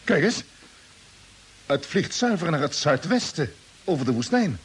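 A decisive expedition commander. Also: male voice, fairly high pitch, very animated, unhurried, husky, heavily acted. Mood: determined. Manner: decisive, giving orders. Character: commanding expedition leader Jeff. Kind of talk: 1950s radio drama acting.

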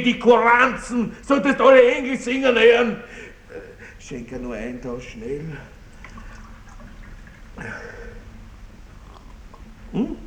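Blustering old baron, roomy sound. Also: male voice, high voice. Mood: furious, then smug, amused. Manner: blustering, self-pitying, chuckling, drawn-out words. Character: pompous, lecherous, blustering aging country baron. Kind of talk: theatrical radio play acting. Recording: roomy 1960s radio drama, faint noise.

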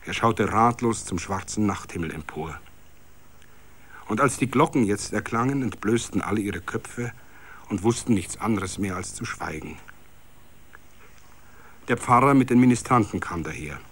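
A man's voice: lowish voice, moderate pace, long pauses, fairly bright tone, slightly rough. A man with a Bavarian accent reads a literary story as dramatic scripted narration.

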